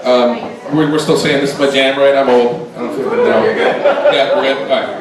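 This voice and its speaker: A man's voice: medium pitch, steady tone, moderate pace, crowd noise. A man in his forties doing a rambling, self-deprecating stand-up comedy set. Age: 40s. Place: comedy club stage with audience. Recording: echoey club room from a distance, audience laughter.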